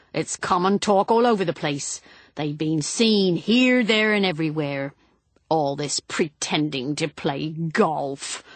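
A woman plays a sour old spinster housemaid in a storybook, indignant and disapproving. The voice is husky and a bit raised, recorded dry. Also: fairly low pitch, no echo.

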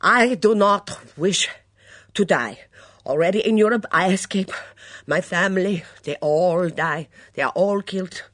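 A woman voicing an excitable young foreign housemaid who speaks loud, theatrical, broken English. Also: fairly low pitch, measured pace, no room sound, clean studio sound, voice pushed up. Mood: dramatic.

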